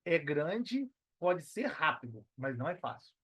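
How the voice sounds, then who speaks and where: High-pitched Brazilian man in his thirties. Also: big pitch swings, a little slow, fairly bright tone, breathy edge, dry recording. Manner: conversational, peppered with slang tags seeking agreement. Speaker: podcast guest, personal trainer and affiliate marketer. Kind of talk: casual podcast interview talk.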